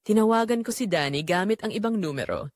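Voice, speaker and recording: A woman with a Filipino accent reading a scripted first-person story in a measured, dramatic way. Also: medium pitch, animated, darkish tone, no room sound, studio quality. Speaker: audiobook narrator.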